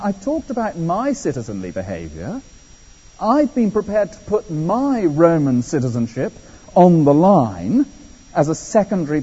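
An English man delivers a sermon with lively swings in pitch and a conversational touch. His voice is husky and mellow. The recording is muffled and rings with the long reverb of a large hall. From England. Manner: animated, conversational preaching with asides. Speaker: preacher. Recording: dull echoey hall.

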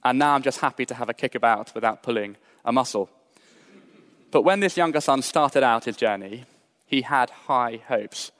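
A young adult man from Britain preaching a sermon in a measured, earnest way, mixing scripture with personal stories. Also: mid-range voice, a bit bright. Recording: long hall reverb.

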